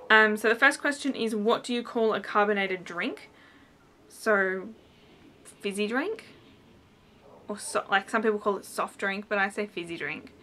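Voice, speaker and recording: A woman's voice: mid-range voice, sharp tone, a little hiss or hum. A young woman from New South Wales with a broad Australian accent, chatting casually to a camera in a small room and rambling with lots of ums and likes. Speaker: vlogger. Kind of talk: casual vlog chat. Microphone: camera in front, head and shoulders framing.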